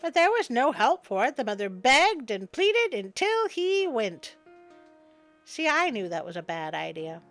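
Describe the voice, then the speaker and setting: Female storyteller, high voice, expressive and wry, storybook narration over music. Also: unhurried. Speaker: storyteller, host.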